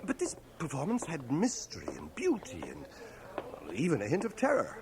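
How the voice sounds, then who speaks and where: A middle-aged schoolmaster, proud, stubborn and courtly. He speaks in precise, formal phrases with lively swings of pitch, in the manner of radio drama. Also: moderate pace, noisy background. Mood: earnest admiration. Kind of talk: 1980 radio drama acting. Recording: home cassette transfer of radio drama.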